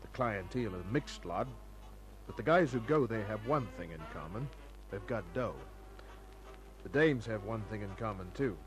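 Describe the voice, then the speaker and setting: An actor playing a cool, wary private detective in an old-time radio crime drama, speaking in a terse, wry, clipped way. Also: male voice, on the low side, animated, normal speed, close to an everyday voice, low-quality recording. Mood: wry, narrating. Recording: old radio recording with faint music.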